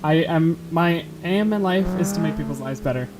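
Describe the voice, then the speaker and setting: Brief: streamer voice, average pitch, rambling and self-mocking, car game noise underneath. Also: normal speed. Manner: rambling, self-deprecating, laughs often. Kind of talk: casual chat while playing a game. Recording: steady game noise behind. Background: car game sounds.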